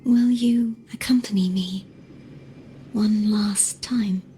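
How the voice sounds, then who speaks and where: A woman playing a gentle, resigned, self-sacrificing young woman in a video game, speaking softly and breathily with solemn, wistful deliberation. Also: medium pitch, fairly even intonation, takes it slowly, a little hiss or hum, close to an everyday voice. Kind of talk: dramatic video game voice acting.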